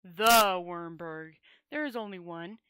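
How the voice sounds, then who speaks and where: A sullen storybook dragon rider read by a woman, scornful and grudging, in a bright, forceful voice. The sound is dry and close. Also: on the low side, takes it slowly, smooth, no echo, pitched below the performer's own voice. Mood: guarded. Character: scornful, sulky male dragon rider held at swordpoint.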